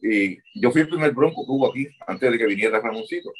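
A man's voice: mid-range voice, fast talker, slight background noise, poor audio. An older man, a former wrestling manager answering interview questions and repeating words as he recalls.

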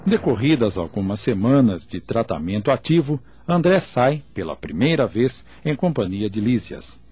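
A man's voice: mid-range voice, big pitch swings, normal speed, a little mellow, slight background noise. A man narrating a scripted radio drama in formal, literary diction.

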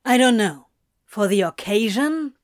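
A woman's voice: fairly high pitch, expressive, measured pace, no echo, clean studio sound. A woman voicing a flustered, defensive, foul-mouthed male supernatural being who mutters and snaps evasively in an audiobook story. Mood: panicked, defensive. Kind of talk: fan fiction audiobook character voice.

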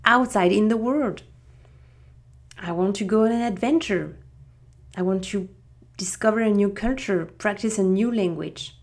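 Middle-aged French-accented woman, native French speaker, podcast host, slow and reflective storytelling. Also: mid-range voice, gravelly. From France. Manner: unhurried, reflective, with frequent restarts.

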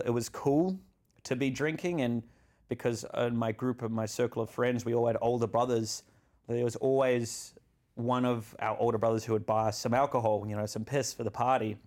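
A man's voice: mid-range voice, steady tone, fairly quick, a little mellow. A man, a podcast guest telling personal stories in a rambling way, laughing as he goes. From New Zealand. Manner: rambling, laughs through his stories, many fillers. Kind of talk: candid personal storytelling.

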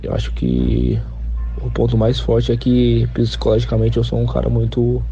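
A man's voice: mid-range voice, moderate pace, vocal fry, dark tone. A man of twenty-eight, a football striker giving hesitant interview answers and repeating words as he thinks. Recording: muffled lo-fi recording with faint noise.

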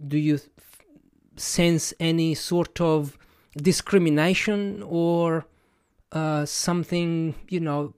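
A man's voice: on the high side, fairly even intonation, takes it slowly, a little mellow, clear, resonant tone, no echo, studio quality. A man with an Italian accent, a podcast host thinking aloud to his audience, hesitant, with many fillers and restarts. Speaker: podcast host and language educator. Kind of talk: casual reflective monologue to camera.

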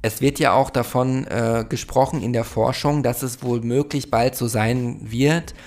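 A man's voice: mid-range voice, fairly even intonation, unhurried, darkish tone, soft background music. A man in his forties from Germany hosting a solo podcast, talking in a reflective, rambling way with many fillers. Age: 40s. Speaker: podcast host.

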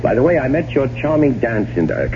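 An actor playing a sly, scheming American husband in radio drama, wry, urbane and self-satisfied. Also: male voice, medium pitch, sing-song, a little fast, lightly acted. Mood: coolly menacing. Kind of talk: 1970s radio drama acting. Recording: dull, noisy old radio recording.

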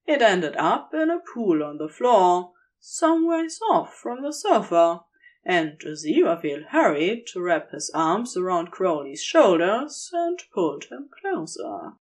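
Female narrator for romantic audio fiction, unhurried delivery with lively melodic swings. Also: on the low side. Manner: slow, measured, expressive reading. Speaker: audiobook-style podfic narrator. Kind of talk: scripted fiction narration, intimate storytelling.

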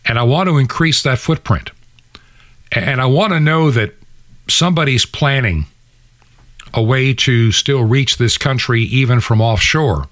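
A man's voice: medium pitch, fairly bright tone, no room sound, clean studio sound. A man hosting a radio show, delivering an opinionated political monologue in an emphatic, conversational way with rhetorical asides. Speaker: radio and podcast host.

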